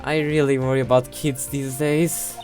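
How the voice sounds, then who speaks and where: Woman voicing a weary young guy lawyer, very low, dry, game music behind. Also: moderate pace. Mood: deadpan. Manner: dry, deadpan. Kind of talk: fan voice-over of a game.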